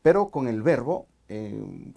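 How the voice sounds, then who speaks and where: A man from Ecuador with an Ecuadorian highland accent, a Spanish teacher chatting on a podcast, thoughtful and hesitant with many fillers. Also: very animated, slow, vocal fry, mellow. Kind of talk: casual podcast chat.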